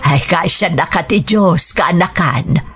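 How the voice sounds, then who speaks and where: An actress with a northern Philippine accent playing a friendly, generous middle-aged village aunt in a radio drama. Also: female voice, fairly low pitch, measured pace, a bit bright, husky, soft background music, full character voice. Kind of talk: Ilocano radio drama acting.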